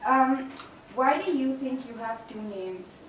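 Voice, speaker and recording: Young woman, student interviewer, higher-pitched voice, casual questions, heavily echoing room, faint background noise. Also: slow pace, highs cut off. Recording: very echoey, distant microphone, faint noise.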